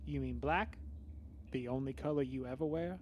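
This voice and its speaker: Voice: medium pitch, normal speed, a little mellow, lightly acted. A performer voicing a level-headed teenage boy sidekick in a comedy sketch, dry and patient.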